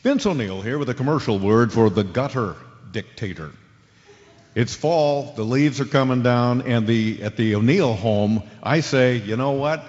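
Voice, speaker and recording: A man's voice: mid-range voice, unhurried, darkish tone, lightly acted. Man playing cocky American sports radio host, smug, sarcastic, showy, impatient, long reverb, muffled audio. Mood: salesman patter. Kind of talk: radio drama as live sports talk show.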